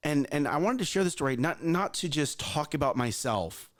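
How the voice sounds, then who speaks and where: A man's voice: fairly high pitch, animated, measured pace. A man in his thirties, co-hosting a morning show, tells a personal parenting story in an earnest, repetitive way and speaks straight to listeners. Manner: earnest, repeats himself, addresses listeners directly.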